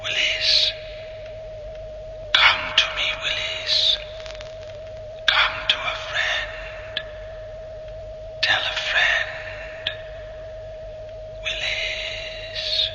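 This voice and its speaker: A cold undead thing kept alive in a machine. The whisper is toneless, very slow and flat, chanting and beckoning in sci-fi radio drama, drenched in cavernous echo over noisy tape. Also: male voice, very high-pitched, distant mic, full character voice. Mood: luring. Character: sinister undead man kept alive inside machine, coldly luring. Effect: heavy echoing reverb.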